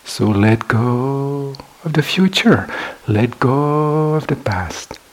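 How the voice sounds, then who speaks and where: A man guiding a meditation as a teacher, speaking in short, measured phrases with pauses between them. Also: medium pitch. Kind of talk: guided meditation talk.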